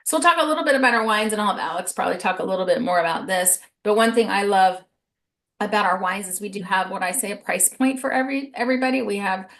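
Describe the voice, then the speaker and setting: A woman's voice: mid-range voice, quick pace, fairly bright tone. A woman hosting a video call, giving an upbeat, persuasive sales presentation. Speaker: host.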